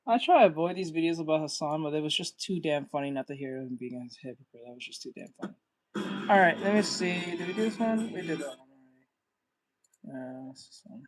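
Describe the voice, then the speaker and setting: A reaction channel host talking in an offhand way, swearing and often trailing off quietly. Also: medium pitch, lively intonation, fast, soft background music, low-quality recording. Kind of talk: casual reaction commentary.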